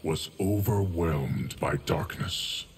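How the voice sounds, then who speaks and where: Very deep, solemn guardian of time. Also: male voice, very noisy. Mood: solemn, measured. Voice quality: deep, gravelly. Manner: slow, measured, formal. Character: solemn, ancient, stoic male guardian of time. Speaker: video game character voice. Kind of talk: scripted game dialogue.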